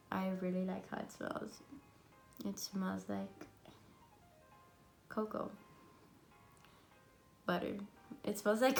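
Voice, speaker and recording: Raspy congested young woman vlogger. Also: medium pitch. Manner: chatty and bubbly, many fillers. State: hoarse and congested from a cold. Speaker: beauty vlogger. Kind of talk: casual makeup tutorial chat. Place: at home.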